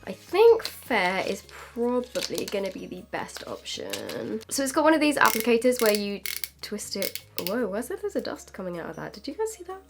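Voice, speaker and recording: A woman's voice: medium pitch, huge pitch swings, moderate pace, vocal fry, over music. A young British woman with a slightly stuffy voice from a cold, casually reviewing makeup to camera in a chatty, filler-filled way. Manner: chatty, filler-heavy with frequent "like". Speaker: beauty vlogger.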